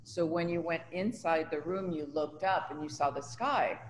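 A woman's voice: deep voice, moderate pace. A woman in her seventies from Tunisia, an artist talking conversationally about her own life and work in an interview. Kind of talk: conversational personal recollection. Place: indoors in an art studio. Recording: reverberant room, faint background noise.

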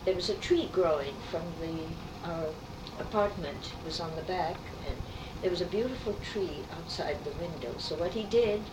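An elderly woman in her eighties, raised with French as her first language, tells stories from her childhood with slow asides. Her voice sits low and sounds roomy and set back from the mic. A steady hiss of tape noise runs under her words. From New York City. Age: 80s. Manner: reminiscing, unhurried storytelling with asides. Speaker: artist interviewee. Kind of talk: oral history interview. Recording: roomy, distant, noisy tape.

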